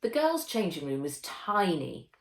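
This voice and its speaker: A woman reading a children's novel aloud as its author, in a measured, expressive storytelling way. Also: fairly low pitch. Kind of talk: children's audiobook narration. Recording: roomy echo.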